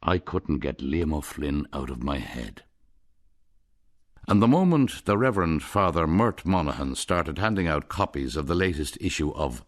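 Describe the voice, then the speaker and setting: A middle-aged Irish man narrating his own story in a wry, rueful, lyrical way for a radio drama. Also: extremely low pitch. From Ireland. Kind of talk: scripted first-person radio narration.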